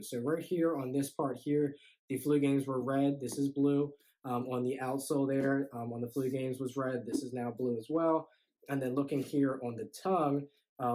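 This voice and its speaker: A man's voice: medium pitch, low-quality recording. Stuffy young guy doing a sneaker review to camera, laid back, lots of um and you know. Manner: relaxed, many fillers and "you know"s. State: stuffy, congested. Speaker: sneaker YouTuber. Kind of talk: casual unboxing review. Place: indoor room with shoe boxes.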